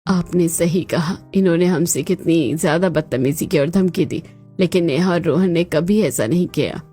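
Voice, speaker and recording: A woman plays a stern older mother-in-law in a cartoon family drama. Her voice sits low and her delivery is emphatic and scolding, with music playing behind her. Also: normal speed, dark tone, close to an everyday voice. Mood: regretful. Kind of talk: Hindi cartoon moral-story voice acting.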